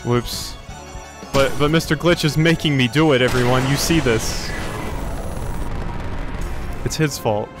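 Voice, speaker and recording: A man's voice: medium pitch, measured pace, pauses often, over music. A cheerful young man giving laughing commentary while playing a game. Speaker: let's play host. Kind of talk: gameplay commentary.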